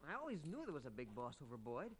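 An actor playing an eager, loyal young American cowboy, the marshal's son, in an old radio western. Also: male voice, medium pitch, wildly swooping pitch, quick pace, a little hiss or hum. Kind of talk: 1950s radio western acting.

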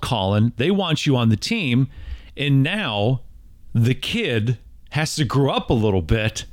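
A man's voice: mid-range voice, dry recording, clean studio sound. A man hosting a casual interview podcast, teasing his guest and building up his story in a playful, dramatic way. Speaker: podcast host.

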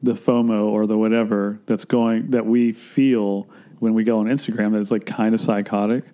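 A man from Florida, a filmmaker guest, talking in a rambling, halting, self-deprecating way in casual conversation. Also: on the low side, flat delivery, mellow.

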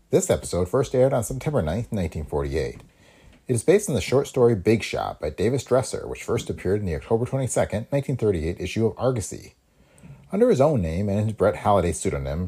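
Casting: male podcast host, very low husky voice, animated scripted read. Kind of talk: scripted narration.

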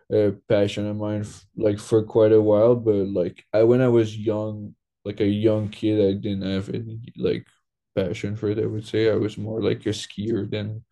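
Young Quebec-raised man, mellow low tone, relaxed filler-heavy interview talk. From Quebec, Canada. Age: young adult. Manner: loose, filler-heavy, lots of "like". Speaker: guest. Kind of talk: casual interview.

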